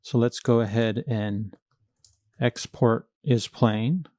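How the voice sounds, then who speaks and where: A man's voice: mid-range voice, fairly even intonation, slow pace, warm tone, no echo, clean studio sound. A man narrating a step-by-step coding tutorial, informally thinking aloud as he works. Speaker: programming tutorial instructor.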